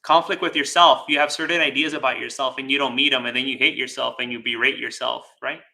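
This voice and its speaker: A man giving a dharma talk as a Buddhist teacher, speaking deliberately with pauses, repeated phrases and rhetorical check-ins. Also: medium pitch, fairly even intonation, vocal fry, pushed and bright. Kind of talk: dharma talk with live chat questions.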